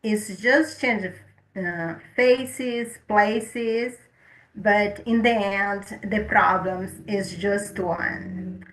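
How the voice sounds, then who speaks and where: A woman hosts a spiritual talk show in a slow, gentle way, now and then stumbling over her words. Her voice is bright and sits a little high. It rings in an echoey room. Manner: gentle, unhurried, occasional stumbles. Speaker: host.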